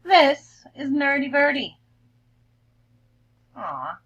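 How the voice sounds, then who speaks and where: A woman reads a picture book to children in a bright voice with lively, swooping pitch. She stretches words for effect and slips into hushed asides to explain things, like a schoolteacher. The recording is slightly muffled. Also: extremely slow. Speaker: elementary school teacher.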